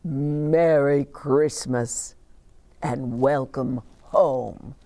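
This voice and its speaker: A jolly, commanding old Santa from a storybook, as a woman reader puts him on. He is kindly and emphatic, drawing his words out slowly. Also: very deep voice, fairly even intonation, warm tone, full character voice. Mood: warm. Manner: drawn-out, emphatic delivery.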